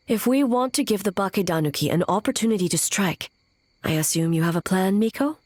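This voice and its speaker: Almighty female warrior ruler for a game acted by a woman, mid-pitched, measured, solemn, music underneath. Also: darkish tone, no echo, studio quality, close to an everyday voice. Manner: formal, grave, measured. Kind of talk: scripted video game voice acting. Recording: music behind the voice.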